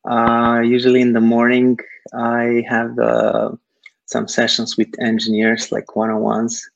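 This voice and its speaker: A man from Serbia, answering interview questions haltingly over a video call, filling pauses with lots of uh. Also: medium pitch, monotone, vocal fry, no room sound, dull audio. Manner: hesitant, many uh fillers. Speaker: guest, startup technical founder.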